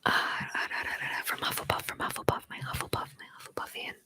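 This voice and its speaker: A woman's voice: fast talker, bright, forceful tone. A young woman, an ASMR creator, whispering as she rambles playfully, giggles and reads out viewer comments.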